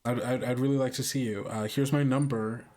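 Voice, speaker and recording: Awkward, luckless drifter played by a man, mid-range voice, halting delivery, improvised roleplay. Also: medium pitch, steady tone, a little mellow, natural voice. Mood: awkwardly upbeat. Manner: halting, hesitant. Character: awkward, down-on-their-luck drifter writing to a loved one.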